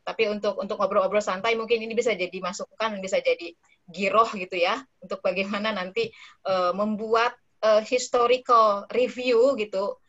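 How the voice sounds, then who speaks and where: Woman, researcher, relaxed and chatty, lots of fillers, slightly dull call audio. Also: mid-range voice, moderate pace. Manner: relaxed, chatty, many fillers and tag words. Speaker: invited speaker, dissertation researcher. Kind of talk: casual online discussion. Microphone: online call audio.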